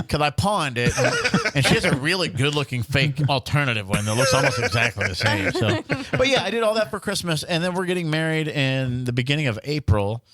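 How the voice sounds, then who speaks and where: A man's voice: on the high side, fairly bright tone, no echo. A young man co-hosting a podcast, telling long rambling stories with lots of restarts and jokes. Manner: rambling storyteller, joking, many restarts. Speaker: podcast co-host. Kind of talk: casual chat.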